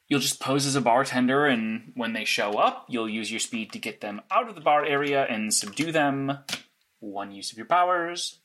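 A man's voice: medium pitch, a bit bright, natural voice. A man acting as a matter-of-fact, analytical vigilante in an audio drama, clipped and insistent. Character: blunt, analytical, sleepless male vigilante mastermind. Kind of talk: naturalistic audio drama dialogue.

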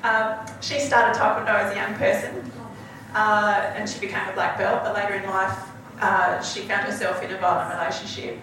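A woman's voice: medium pitch, fairly bright tone. A woman telling a story as a panel guest, earnest and dotted with ums. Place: large event hall. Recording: echoey hall, distant mic, background noise.

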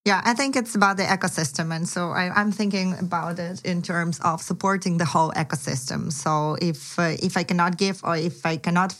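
Lowish-voiced Ukrainian-accented woman. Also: fairly even intonation, brisk, few pauses, fairly bright tone. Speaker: podcast co-host, angel investor. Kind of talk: casual interview chat.

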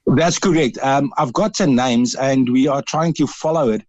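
A man's voice: medium pitch, darkish tone. A South African man speaks earnestly on a radio call-in, often stopping and restarting. His voice has a slightly dull, muffled sound, and the room adds little echo. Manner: earnest, halting, with frequent restarts. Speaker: radio caller. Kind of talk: radio interview.

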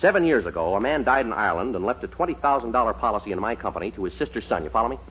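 Man acting slick, animated schemer, old radio. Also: fairly low pitch, racing, close to an everyday voice. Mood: pitching. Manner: breezy, glib, hard-selling. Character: slick, hearty, fast-talking young male schemer. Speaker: supporting actor. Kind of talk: 1940s radio sitcom acting. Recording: muffled, hissy 1940s radio recording.

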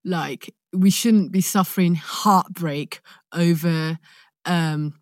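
A woman's voice: fairly low pitch, fairly even intonation, takes it slowly, a little mellow, dry recording, studio quality. A woman from London with a London accent, chatting informally and expressively, reflective with playful asides. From London, England, born in Nigeria. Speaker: podcast guest, actor. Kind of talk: casual chat.

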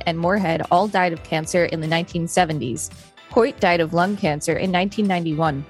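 A woman co-hosting a podcast, reading an article aloud with dry, skeptical remarks. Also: fairly low pitch. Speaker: podcast co-host. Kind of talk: reading aloud and casual chat.

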